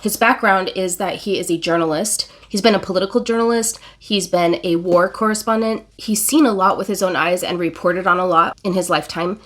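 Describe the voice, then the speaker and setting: Woman, 40s, book vlogger, stuffy-nosed and a bit low-pitched, earnest and emotional, casual. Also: a bit bright. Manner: earnest, emotional, talks with fillers and self-corrections. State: congested, recovering from illness. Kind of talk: casual reading vlog.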